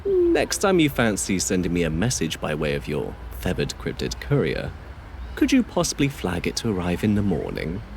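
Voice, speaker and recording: A man's voice: deep voice, huge pitch swings, normal speed, creaky, slight background noise, full character voice. An actor playing a weary, sardonic young man, a god of time, in an audio drama, speaking dryly and wryly. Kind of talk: ASMR audio drama acting.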